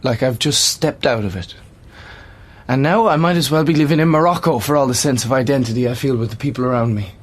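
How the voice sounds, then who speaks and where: A woman's voice: a bass voice, fast, slightly rough. A woman acting in a radio play as a bitter, despairing, seriously ill young man, with an intense delivery. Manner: intense, embittered.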